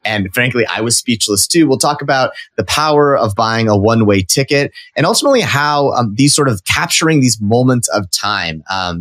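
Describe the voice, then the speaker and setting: A man's voice: mid-range voice, a bit bright, no room sound. A man hosting a podcast in a studio, chatting in an upbeat way with lots of fillers and restarts. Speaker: podcast host. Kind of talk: casual interview chat.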